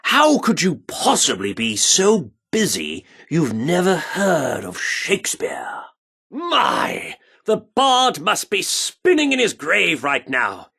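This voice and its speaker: An actor playing a pompous, flamboyant theatre troupe director in a video game, with a haughty, grandiloquent, theatrical delivery. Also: male voice, a little high, huge pitch swings, takes it slowly, creaky, bright, forceful tone, husky, exaggerated voice. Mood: incredulous, impassioned. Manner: theatrical, grandiloquent, haughty, archaic turns of phrase. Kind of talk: dramatic video game character acting.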